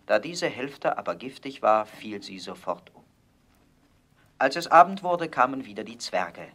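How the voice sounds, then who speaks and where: A man narrates a fairy tale in a scripted storybook audio play. His voice sits at an ordinary middle pitch, and he tells the story at a calm, measured pace.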